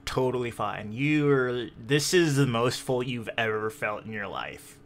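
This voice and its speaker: A man acts as game master for a tabletop roleplaying session. He narrates slowly at a middle pitch, with dramatic, deliberate pauses and a little noise behind him. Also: lively intonation.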